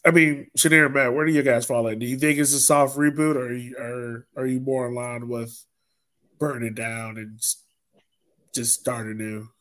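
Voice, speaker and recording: A man's voice: mid-range voice, little pitch movement, moderate pace, fairly bright tone. A man hosting a casual sports podcast discussion, talking informally and conversationally with his co-hosts. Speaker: podcast host.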